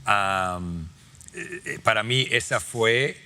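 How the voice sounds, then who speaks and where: A man's voice: lowish voice, slow pace. A man from Australia, a native English speaker, hosting a conversational interview in an encouraging, exhorting way. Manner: warm, encouraging, exhorting listeners. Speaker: podcast host.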